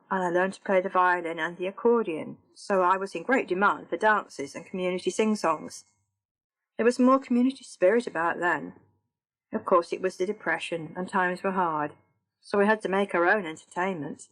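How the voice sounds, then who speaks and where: An elderly woman looking back on her wartime youth, read by a woman in her own voice. She tells her memories in a plain, reminiscing way. Her pitch sits at a middling height for a woman. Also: natural voice, poor audio. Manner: plain reminiscing first-person telling.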